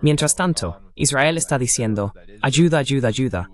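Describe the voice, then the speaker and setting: A man with a medium-pitched voice speaks as a panel host. The delivery is political discussion in a dubbed voice-over. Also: animated, normal speed.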